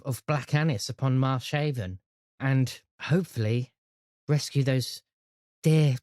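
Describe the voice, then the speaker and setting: A man voicing a kindly, grave old grandmother in a tabletop game, gently addressing listeners as dears. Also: mid-range voice, no room sound, studio quality, lightly acted. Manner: gentle, grave, calls people dear. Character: kindly old grandmother shopkeeper, solemn, secretly more powerful.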